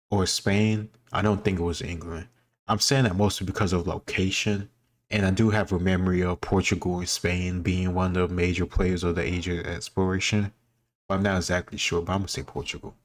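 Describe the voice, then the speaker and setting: A man's voice: deep voice, flat delivery, moderate pace. A man speaking informally as a host, reacting casually and thinking aloud as he answers quiz questions.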